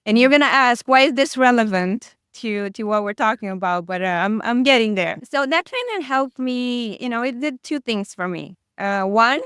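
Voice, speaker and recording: A woman's voice: a little high, animated, moderate pace, hardly any pauses, a bit bright, clean tone, no echo, clean studio sound. A woman, a guest chatting casually in an interview, hesitant with frequent fillers and restarts. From Romania.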